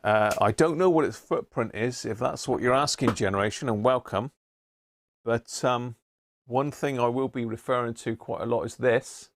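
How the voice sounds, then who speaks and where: A man's voice: mid-range voice, moderate pace, dry recording. A man hosting a casual live stream, explaining things informally and without hurry as he demonstrates his hobby.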